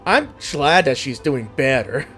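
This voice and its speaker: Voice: medium pitch, sing-song, measured pace. A game streamer giving casual, blunt, teasing reaction commentary over gameplay. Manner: blunt, sweary, giggly, teasing. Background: faint game music.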